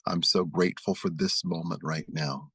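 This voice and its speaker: Low-voiced man leading guided prayer. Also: fairly even intonation, a bit bright, slightly rough, dry recording. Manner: slow, soothing, leads spoken affirmations. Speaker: spiritual meditation leader.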